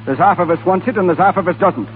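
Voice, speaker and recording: Casting: male actor as menacing Irish rebel comrade, emphatic, higher male voice, old-time radio drama, narrow tinny sound. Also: fast talker, slight background noise, lightly acted. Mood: brisk, blunt. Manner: blunt, challenging, emphatic. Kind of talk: 1930s radio acting. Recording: tinny 1930s radio recording.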